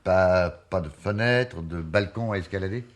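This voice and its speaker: An actor playing a tenacious investigator in a mystery play, questioning deliberately with theatrical emphasis. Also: male voice, sits low. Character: probing, tenacious male amateur investigator. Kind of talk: French radio mystery play acting.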